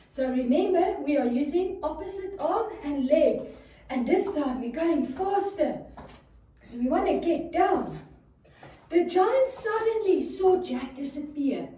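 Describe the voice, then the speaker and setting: A woman's voice: high-pitched, dark tone. A woman telling a children's story while guiding young listeners through yoga movements with simple, encouraging step-by-step instructions. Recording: very echoey room, distant microphone.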